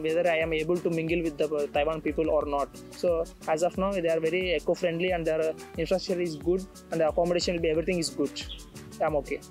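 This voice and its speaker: A young man from India, an international student, answering interview questions outdoors on a campus, hesitant with many fillers and restarts. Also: a little high. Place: outdoors on a tree-lined campus.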